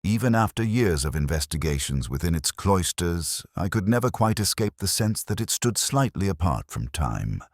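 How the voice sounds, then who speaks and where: A man narrating a scripted first-person detective story in a measured, reflective, literary manner. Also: low-pitched, sing-song, no room sound, clean studio sound.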